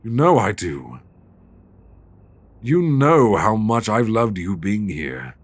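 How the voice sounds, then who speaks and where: A man's voice: lowish voice, sing-song, slow pace, slightly rough, no echo, heavily acted. A man with a British accent playing a stern, weary but devoted dominant boyfriend in an intimate, emotionally intense audio roleplay, close on a binaural mic. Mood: tender, worried. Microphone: close binaural microphone.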